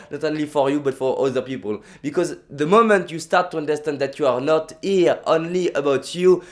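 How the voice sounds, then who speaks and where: A young man with a French accent, a native French speaker, giving an emphatic motivational vlog talk full of repetition and restarts. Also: fairly high pitch. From France.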